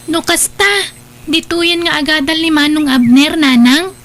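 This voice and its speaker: A woman's voice: sits high, fairly even intonation, unhurried, fairly bright tone. A woman playing a sweet, understanding young schoolgirl in an audio drama, agreeable, with a Filipino accent.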